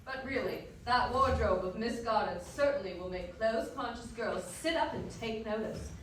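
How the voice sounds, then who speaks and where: A woman's voice: a little high, animated, moderate pace, close to an everyday voice. A woman playing a chatty, fashion-loving 1940s Hollywood radio reporter, delivering scripted sales patter on a live stage. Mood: chatty, enthusiastic. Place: live stage performance in a dance hall. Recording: very echoey hall, distant, faint noise.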